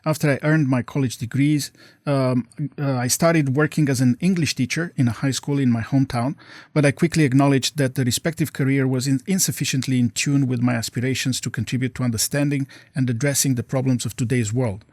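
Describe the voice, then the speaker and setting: A middle-aged man from Romania, a professor answering interview questions in measured, formal sentences. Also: mid-range voice, steady tone, darkish tone, dry recording, studio quality. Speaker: guest professor.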